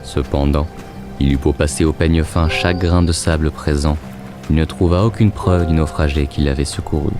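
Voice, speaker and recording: A man with an extremely deep voice narrates a fantasy tale. His delivery is measured and literary, like scripted storytelling, with music clearly playing behind. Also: fairly even intonation.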